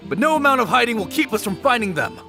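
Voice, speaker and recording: A man's voice: very high-pitched, sing-song, quick pace, over music, exaggerated voice. An actor playing a fired-up young man rallying a crowd in a video game, declaiming urgently. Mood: rousing.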